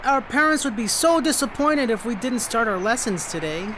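Young twin brother for audio drama: cheeky, polite, fairly high, faint noise behind. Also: female voice, animated, normal speed, fairly bright tone, rough recording. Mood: cheeky, flustered. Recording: faint ambient background noise.

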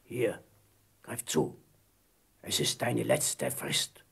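An actor playing a sinister blind pirate in an audio drama, slow, taunting and menacing, with a creaky voice. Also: male voice, sits low, big pitch swings, a bit bright, exaggerated voice. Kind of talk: German audio drama acting.

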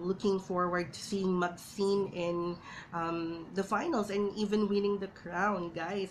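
A woman's voice: fairly low pitch, a little hiss or hum, poor audio. A young woman with a Filipino accent, chatting casually to her viewers in a vlog, keeps calling them "guys". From Philippines. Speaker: vlogger. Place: small indoor room at home.

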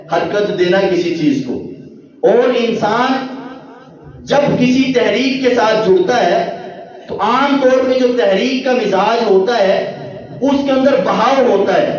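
A man's voice: very high-pitched, darkish tone. A young man from Pakistan speaks to a convention audience, explaining his points through repeated parallel phrases and moving his hands as he talks, into a stand mic at a podium. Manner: gesturing with both hands while speaking. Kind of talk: lecture to an audience. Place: indoor convention hall with banner behind. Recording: very echoey hall, distant lo-fi mic.

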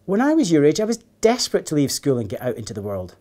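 A man's voice: on the high side, huge pitch swings, fast talker, mellow. An affable, wry male sidekick from a children's storybook, acted out by a man reading aloud. He talks casually and matter-of-factly, with dry understatement. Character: affable, wry older male bus-driver companion.

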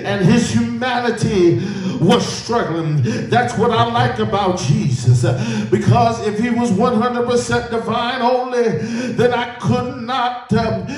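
Echoey high-voiced male preacher, slow and emphatic. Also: darkish tone, recorded from a distance. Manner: emphatic, repetitive phrasing with hallelujah interjections. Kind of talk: sermon. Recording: echoey room, picked up from afar.

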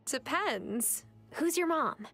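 An actress playing a friendly young woman new in town in a video game, casual, polite and gently wry. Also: sits high, lively intonation, slow, faint music behind, full character voice.

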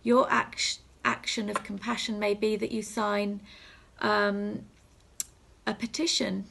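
Creaky, husky woman. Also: medium pitch, flat delivery, takes it slowly, a bit bright. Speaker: reverend leading a school assembly. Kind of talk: gentle reflective assembly talk and prayer for children. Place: small room at home. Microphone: phone or webcam at arm's length.

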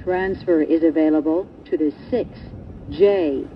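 Low, slow female transit announcer, muffled. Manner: measured, carefully enunciated, pieced together from clips. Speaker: automated subway announcer. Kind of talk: pre-recorded transit announcements. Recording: muffled train speaker, vehicle noise. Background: subway car rumble.

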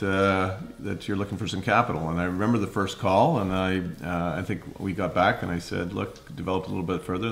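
A man in his fifties with a Canadian accent, telling his story in a casual interview in halting, hesitant phrases. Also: deep voice, creaky, a bit bright. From Ottawa, Canada. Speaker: investor and mentor being interviewed. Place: home kitchen, indoors.

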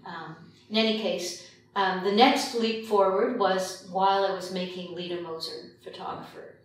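An older woman from Quebec reflecting in an interview as a filmmaker, pausing often mid-sentence. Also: mid-range voice, steady tone. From Quebec, Canada. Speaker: documentary filmmaker being interviewed. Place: indoor meeting room at a long table. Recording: very echoey room, distant mic, faint noise.

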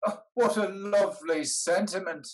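An older actor playing a humble, quietly skeptical petitioner in a period stage comedy, formal and a little hesitant. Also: male voice, sits high, normal speed, natural voice. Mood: skeptical, polite. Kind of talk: theatrical period comedy acting. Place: dim room at home on a video call.

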